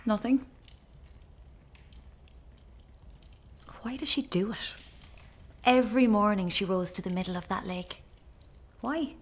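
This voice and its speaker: An actress playing an awkward, curious young aspiring writer with a tentative, understated delivery in a radio drama. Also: female voice, mid-range voice, moderate pace, close to an everyday voice. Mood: preoccupied, curious. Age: young adult. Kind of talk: naturalistic radio drama acting.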